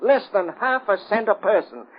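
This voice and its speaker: A man's voice: high-pitched, expressive, normal speed, a little hiss or hum. A man acting a smug, sneering crooked official who orates pompously in an old radio drama. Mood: oratorical. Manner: declamatory, pompous. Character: smug, sneering crooked city official and rabble-rousing orator. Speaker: actor. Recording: tinny, narrow-band old radio recording.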